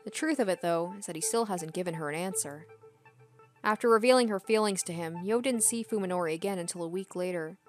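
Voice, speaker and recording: A woman's voice: fairly low pitch, fast talker. A woman streaming a game, reading visual novel narration aloud with casual asides. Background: soft game music.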